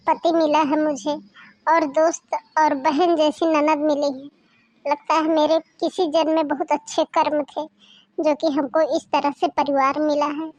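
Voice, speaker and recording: A woman's voice: high voice, dry recording. A woman doing a meek, tearful young bride. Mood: wistful. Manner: soft, pleading, tearful.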